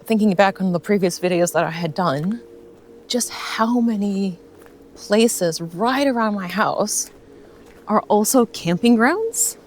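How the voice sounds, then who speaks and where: Slow-talking woman in her forties, American accent. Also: medium pitch, slight background noise. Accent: American, slightly shifted by years in New Zealand. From Russia. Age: 40s. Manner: relaxed, chatty, laughs often, many fillers. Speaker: vlogger. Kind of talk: casual rambling chat on a walk. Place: outdoors on a bush walk.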